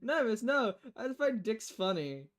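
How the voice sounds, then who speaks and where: A woman's voice: fairly high pitch, sing-song, normal speed. A woman voicing a blunt, teasing young woman bartender in a visual novel, casually and informally. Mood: amused.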